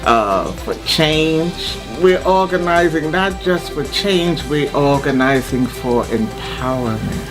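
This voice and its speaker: An older woman activist speaking in measured, emphatic interview remarks. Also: low-pitched, lively intonation, over music.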